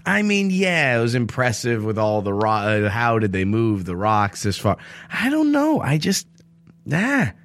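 A man co-hosting a podcast in casual chat, swearing freely and ranting with animated energy. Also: mid-range voice, unhurried, no room sound. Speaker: podcast co-host.

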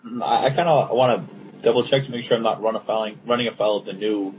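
A man's voice: fairly low pitch, fast, a little mellow. A man who is a guest judge on a podcast, explaining game rules step by step in casual chat. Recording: dull lo-fi sound with faint noise.

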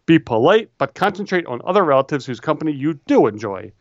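A man's voice: fairly high pitch, big pitch swings, fast, muffled recording. A man co-hosting a casual chat, reading advice letters aloud with easygoing, dry humor. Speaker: podcast co-host.

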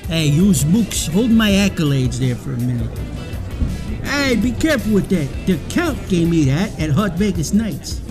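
A man's voice: high voice, sing-song, moderate pace. A man playing an exasperated, touchy car show exhibitor in a radio comedy, blunt and scolding. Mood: indignant. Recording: music behind the voice.

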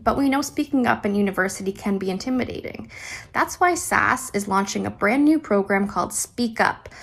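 A woman's voice: mid-range voice, fairly bright tone. A woman from student services delivering an upbeat, polished scripted promo.

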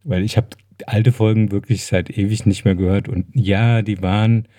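Low, mellow-voiced man chatting on a podcast. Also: steady tone, moderate pace, no room sound, clean studio sound. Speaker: podcast co-host. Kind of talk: casual podcast chat.

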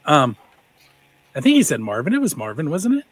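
A man's voice: fairly high pitch, fairly quick, crowd noise. Man in his forties hosting. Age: 40s. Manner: playful, relaxed questioner. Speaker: podcast host. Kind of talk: casual interview. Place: recording studio.

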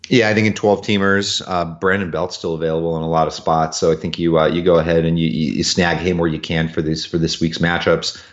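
A man giving informal sports analysis as a podcast co-host, halting to think as he goes, on a lo-fi connection. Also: low-pitched, level tone. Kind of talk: casual sports talk, analysis. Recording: dull, lo-fi voice connection.

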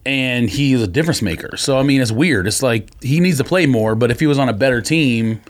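A man's voice: medium pitch, brisk. A man co-hosting a podcast with casual sports analysis, explaining his argument point by point. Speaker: podcast co-host.

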